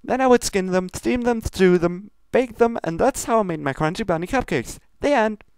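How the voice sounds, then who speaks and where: A cheerful, oblivious female pony baker, as a fan fiction reader acts her out, chatting casually and blithely. The voice is lifted and very lively, in a dry recording. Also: medium pitch, normal speed, clear, resonant tone, dry recording, lightly acted. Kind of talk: fan fiction comedy reading.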